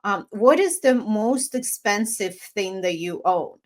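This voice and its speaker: A woman co-hosting a podcast in casual chat, telling stories in a chatty, animated way and laughing often. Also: medium pitch, slow pace. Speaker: podcast co-host.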